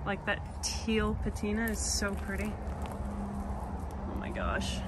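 A woman's voice: medium pitch, takes it slowly, heavy background noise. A woman, gushing casually over old cars outdoors on a phone camera. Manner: excited, gushing. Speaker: vlogger. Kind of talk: casual vlog narration. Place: outdoor junkyard.

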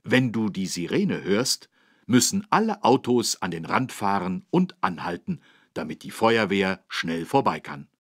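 Children's game role: helpful policeman, husky, lively intonation, teaching tone. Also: fairly low pitch, measured pace, no echo, exaggerated voice. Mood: instructive. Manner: didactic, clearly phrased for small children. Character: friendly, helpful adult male policeman teaching children road safety. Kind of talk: scripted explanation for a children's game.

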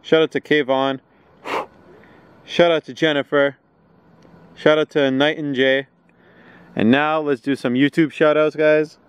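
A man's voice: fairly high pitch, level tone, a little slow, pauses often, clear, resonant tone, dry recording. Hyped young man vlogging outdoors. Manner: upbeat, hyped, talks straight to viewers. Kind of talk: energetic vlog shout-outs and hiking commentary. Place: outdoors in bare woods. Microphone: handheld camera at arm's length.

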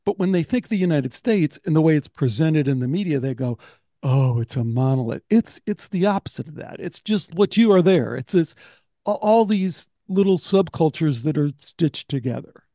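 A man's voice: on the high side, normal speed, dry recording. A man in his sixties, a podcast host, chatting animatedly on a video call into a studio mic. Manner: animated storyteller, quotes others in voices. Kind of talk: casual conversation. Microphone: large studio mic on arm.

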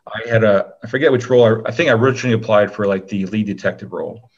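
A man talking casually as an actor being interviewed, rambling along with frequent pauses. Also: fairly low pitch, dull audio.

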